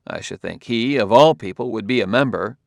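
A man voicing a patient middle-aged police detective in an audiobook, asking questions quietly and cautiously. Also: lowish voice, fairly quick, vocal fry, no echo, clean studio sound. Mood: probing.

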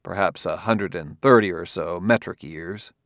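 A man voicing a patient middle-aged police detective in an audiobook, asking questions quietly and cautiously. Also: low voice, normal speed, dry recording, studio quality, pitched below the performer's own voice.

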